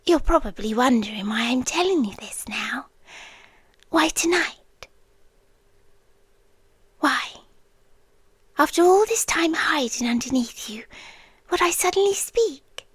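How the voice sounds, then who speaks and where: An obsessive British yandere girlfriend, acted by a woman, high-voiced and breathy, slipping between whisper and soft speech. She speaks very slowly and possessively, right up close in a dry, intimate ASMR recording. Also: expressive, dry recording. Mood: menacing intimacy. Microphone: close, dry microphone.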